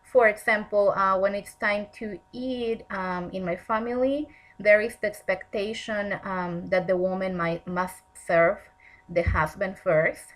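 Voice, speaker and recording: A woman's voice: medium pitch, steady tone, rough recording. Earnest thirty-year-old woman. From Mexico. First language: Spanish. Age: 30s. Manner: earnest, measured, with frequent ums. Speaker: graduate student. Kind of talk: reading a prepared self-introduction.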